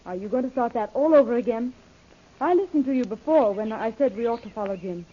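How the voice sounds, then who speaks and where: High-pitched headstrong young heroine. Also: female voice, lively intonation, fast talker, dark tone, breathy edge. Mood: determined. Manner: dramatic, insistent. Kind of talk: old-time radio serial acting. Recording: dull lo-fi old radio recording.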